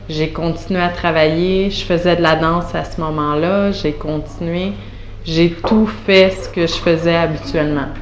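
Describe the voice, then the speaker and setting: Slow, hesitant Quebec woman, echoey room. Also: lowish voice. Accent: Quebec French. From Montreal, Canada. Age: 30s. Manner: hesitant, many fillers and restarts. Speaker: patient giving a testimonial. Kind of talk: unscripted spoken testimonial. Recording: roomy echo with faint background noise.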